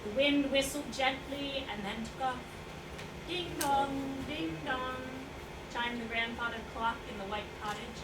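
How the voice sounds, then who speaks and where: Woman playing a wry high-voiced questioner, echoey hall. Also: unhurried. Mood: storytelling. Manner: ironic, pointed, needling. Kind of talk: staged play reading. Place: indoor staged reading, distant microphone. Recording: echoey, noisy room recorded from far off.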